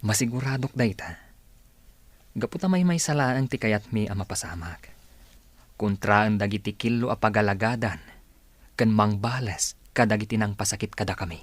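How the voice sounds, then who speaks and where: A man's voice: lowish voice, normal speed, no echo, natural voice. A man playing an aggrieved, vengeful young recruiter in a radio drama, with an Ilocano accent. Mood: resentful, determined.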